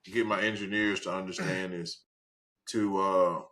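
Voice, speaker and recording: A man co-hosting a casual tech podcast over a video call, relaxed, with fillers like uh and right. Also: sits low, measured pace. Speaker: podcast co-host.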